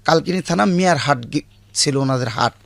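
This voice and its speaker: A man's voice: on the high side, lively intonation, a little fast, creaky, fairly bright tone, dry recording. A man in his late fifties with a Bangladeshi accent, telling his life story as an interview guest in plain, colloquial, repetitive speech.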